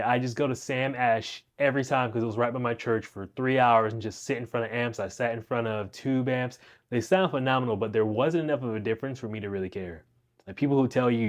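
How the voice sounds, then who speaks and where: A man's voice: medium pitch, fairly quick. A young man giving a casual, rambling rundown of his music gear at home, speaking into a wireless clip-on mic. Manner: laid-back, rambling, slangy. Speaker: guitar teacher and channel host. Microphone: wireless clip-on mic into phone.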